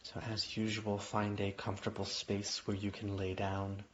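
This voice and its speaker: Man, low pitch, guided relaxation narration, gentle, unhurried pauses, muffled audio. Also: completely flat delivery. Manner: gentle, unhurried phrasing with long pauses, invitational. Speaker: yoga nidra guide.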